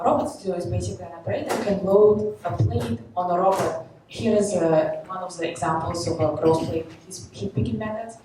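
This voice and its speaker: A woman's voice: lowish voice, a little mellow, a little hiss or hum. A young woman from Kazakhstan giving a conference talk, explaining with many fillers, recorded from a distance in an echoey hall. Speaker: presenter.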